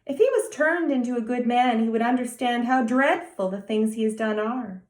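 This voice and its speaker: A woman's voice: on the high side, moderate pace, reverberant, natural voice. A woman voicing a serious, earnest small boy of nearly ten in a storybook reading. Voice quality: grave, emphatic childlike delivery.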